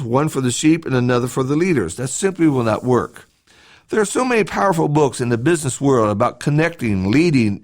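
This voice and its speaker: A man reads a scripted devotional talk as a church podcast host, in a measured, instructive way with short emphatic phrases. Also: fairly high pitch.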